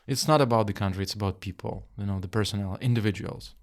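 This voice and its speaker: Lowish-voiced man from Russia, rambling. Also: fast talker. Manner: rambling, explanatory, many fillers. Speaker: podcast host. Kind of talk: casual interview chat.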